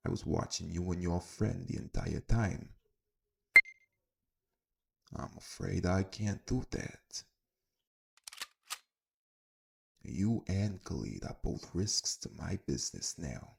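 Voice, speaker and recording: A man playing a menacing crime boss in an audio roleplay, making slow, deliberate threats. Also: low-pitched, expressive, creaky, noisy background, full character voice. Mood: smug threat.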